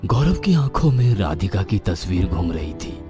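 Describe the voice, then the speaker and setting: A man narrating an animated horror story in an expressive, suspenseful way. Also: sits low, moderate pace. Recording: background music.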